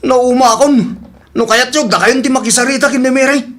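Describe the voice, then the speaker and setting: A man's voice: extremely high pitch, fairly even intonation, a little fast, a bit bright. Male actor as fed-up husband, radio comedy drama, incredulous and complaining. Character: exasperated husband fed up with his spendthrift wife.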